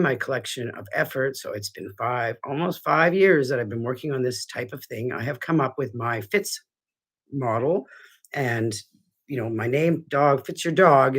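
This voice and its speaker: Middle-aged male dog trainer; husky, congested; rambling, sincere home video host. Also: mid-range voice, a bit bright. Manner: earnest, rambling with restarts. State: stuffy, congested. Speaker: dog trainer hosting a weekly show. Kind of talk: casual talk to camera. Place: living room at home.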